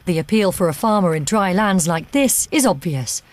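A woman's voice: lowish voice, expressive, normal speed, no echo. A woman reading scripted documentary narration as the narrator of the film. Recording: music under the narration. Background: background music.

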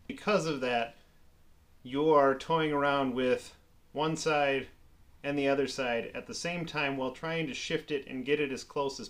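A luthier talking casually through his thoughts on a guitar part, relaxed and plainspoken. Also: medium pitch. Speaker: luthier reviewing guitar gear. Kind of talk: casual gear review.

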